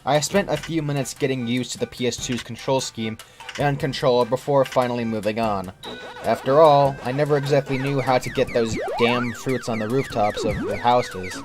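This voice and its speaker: A man's voice: mid-range voice, huge pitch swings, normal speed. A man reading a scripted first-person creepypasta story as its narrator. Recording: music under the narration.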